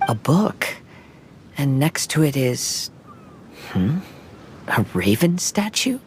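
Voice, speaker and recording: An actress voicing a calm, practical young woman adventurer, matter-of-fact in tone. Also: extremely low pitch, slow pace, slight background noise, heavily acted. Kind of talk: game voice acting. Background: music.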